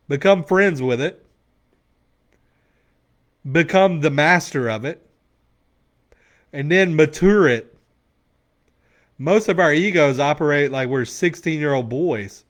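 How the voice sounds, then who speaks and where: Blunt, cursing man in his forties. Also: a little high. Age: 40s. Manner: blunt, profane, emphatic. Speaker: men's coach. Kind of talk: motivational Q&A talk.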